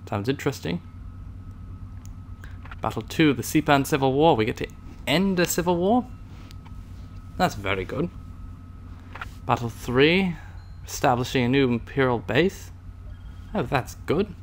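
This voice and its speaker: A man's voice: mid-range voice, expressive, measured pace, pauses often, a little hiss or hum. A man hosting a gaming video in a put-on British accent, giving hammy, playful commentary as a loyal Imperial pilot. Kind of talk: playful in-character game commentary.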